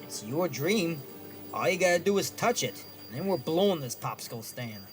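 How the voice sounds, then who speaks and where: A man voices a wisecracking psychic for an audio drama, slightly high for a male and very lively in pitch. He is gruff and impatient, with slangy wise-guy phrasing. Music plays clearly behind him. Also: normal speed, close to an everyday voice. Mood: wry, coaxing.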